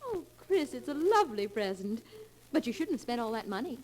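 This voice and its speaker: High-voiced sweet 1940s radio bride. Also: female voice, very animated, fairly quick, over loud music, rough recording. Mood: happy, tender. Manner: gracious, tender. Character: sweet, devoted young female sweetheart and bride.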